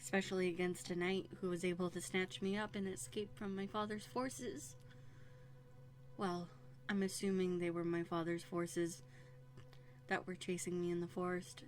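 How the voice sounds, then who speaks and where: Woman acting a low-voiced, haughty runaway princess. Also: natural voice. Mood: resigned, wry. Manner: prim, formal, indignant phrasing with dramatic hesitations. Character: haughty, wary young runaway princess, quick-tongued. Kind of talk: scripted audio roleplay acting. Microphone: close USB studio microphone.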